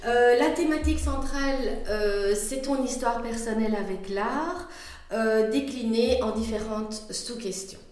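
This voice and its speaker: A woman conducting an interview, asking questions in a halting way with many fillers. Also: a little high, darkish tone, heavy reverb.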